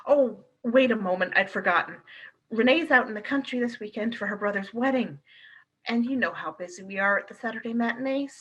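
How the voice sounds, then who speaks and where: An actress in a radio play playing a loyal, spirited and protective London wife, in a theatrical style. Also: female voice, fairly high pitch, fairly quick, a bit bright, breathy edge, highs cut off. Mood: affectionate, brisk.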